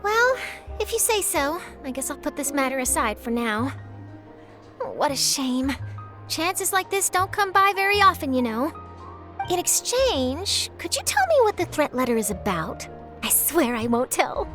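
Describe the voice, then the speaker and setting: A woman's voice: high voice, big pitch swings, a little slow, over music. A woman voicing an eager, chatty young female journalist in a video game, breezy and persuasive. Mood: coaxing. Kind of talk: video game character dialogue.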